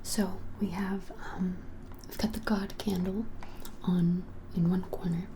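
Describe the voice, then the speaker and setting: A woman in her twenties in a breathy whisper, doing a gentle, hesitant, conversational ASMR roleplay as a shopkeeper. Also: lowish voice, little pitch movement, unhurried, background noise. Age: 20s. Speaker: ASMR creator playing a witch shop keeper. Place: small plain room indoors.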